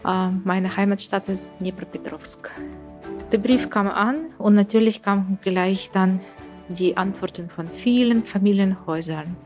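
A woman's voice: mid-range voice, a little slow, warm tone, soft background music. A middle-aged woman from Ukraine, a native Russian speaker working as a teacher, speaking in an interview with many hesitations.

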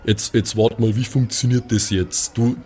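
Man in halting casual banter, medium pitch. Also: fairly even intonation, dark tone. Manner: halting, repeats words as he works out ideas. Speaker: player in a tabletop role-playing podcast.

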